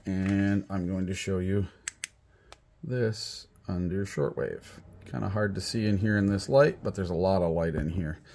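A man's voice: low-pitched, unhurried, darkish tone. A man with an American accent giving a casual, plain-spoken product demonstration, instructing step by step. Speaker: product demonstrator and rock hunter. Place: small indoor room at a table. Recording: clearly audible background noise.

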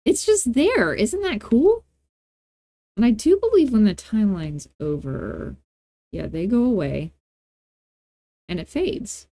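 A woman hosting a casual software tutorial, talking in an informal, chatty way with playful asides. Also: a little high, big pitch swings, slow pace, pauses often. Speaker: tutorial host.

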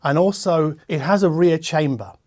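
A man in his forties with a slightly high voice, presenting a product review as the host. He talks casually and conversationally, leaning on key words and dropping in asides as he goes. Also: normal speed, darkish tone. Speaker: host, audio equipment reviewer. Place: furnished living-room listening room, indoors.

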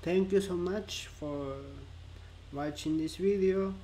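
A young man who stutters, speaking slowly and haltingly in a personal vlog, filmed by a webcam in a bright indoor room. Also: high-pitched, slight background noise. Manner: slow and halting, stuttering with long pauses. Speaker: vlogger.

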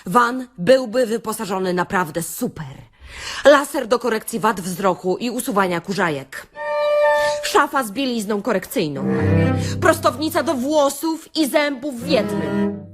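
Brash actress as a sardonic beautician, very animated. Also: female voice, a little high, measured pace, soft background music. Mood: wry, scheming. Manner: theatrical, sardonic, addresses listeners with rhetorical questions. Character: brash, sarcastic beautician delivering a comic self-help rant. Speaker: actress reading a comic monologue. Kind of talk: satirical radio-play monologue, stand-up-like lecture.

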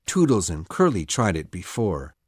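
A woman's voice: a bass voice, big pitch swings, normal speed, a little mellow, husky, no echo, studio quality, voice pushed down, exaggerated voice. A woman voicing a bold, stubborn boy hero from a storybook, speaking firmly and dramatically. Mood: earnest. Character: Peter Pan, bold stubborn boy hero, brave and brusque. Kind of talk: storybook character voice.

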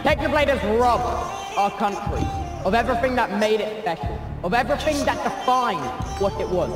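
A man's voice: very high-pitched, expressive, a little fast, roomy sound, music in the background. A man voicing a fiery young rebel leader. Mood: impassioned. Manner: impassioned, speech-like.

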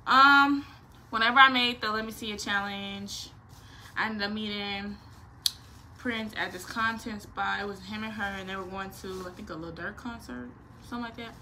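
A woman's voice: medium pitch, normal speed, a little hiss or hum. A nineteen-year-old woman, chatting casually and playfully in a Q&A vlog from her bedroom. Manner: animated, slangy, playful, expressive hand gestures. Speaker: vlogger. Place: small bedroom.

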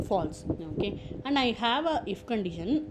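A woman presenting an informal software tutorial, explaining step by step and repeating her points. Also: mid-range voice, big pitch swings, unhurried, background noise.

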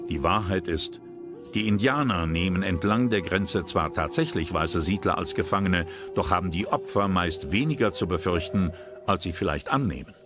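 Low-voiced male documentary narrator. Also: normal speed. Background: music.

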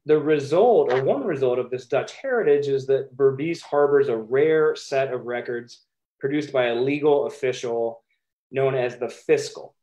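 A man in his thirties with an American accent, giving a measured, emphatic academic talk over a video call, with occasional ums. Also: mid-range voice, mellow, muffled recording. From United States. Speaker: historian presenting his book.

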